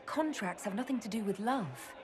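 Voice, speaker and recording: Composed woman leader, voiced by an actress, measured and diplomatic, mid-pitched; for a game. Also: soft background music, some chatter behind, natural voice. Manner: calm, diplomatic. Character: composed female leader, the Inquisitor. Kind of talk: video game cutscene acting.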